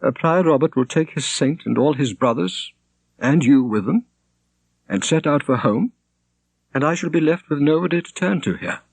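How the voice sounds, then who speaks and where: A man voicing an earnest, quick-witted, grieving young woman in an audiobook. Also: a little high, expressive, creaky, dry recording, voice pushed up, poor audio. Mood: sad, anxious. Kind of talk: audiobook character reading.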